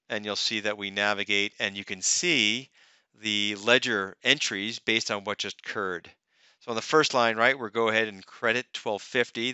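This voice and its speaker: Bright, crisp man narrating a software tutorial. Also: lowish voice, no echo. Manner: explanatory, step-by-step, conversational. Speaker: software demonstrator. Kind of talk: narrated software tutorial, semi-scripted walkthrough. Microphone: close microphone, screen-recording voice-over.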